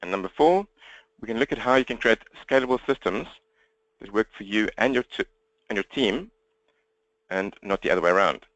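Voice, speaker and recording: A man with a South African accent presenting an interactive webinar, talking fast in a direct way and engaging listeners with questions. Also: lowish voice, animated, a bit bright, no echo, poor audio. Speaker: webinar presenter and coach for accountants. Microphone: computer webinar microphone, presenter standing.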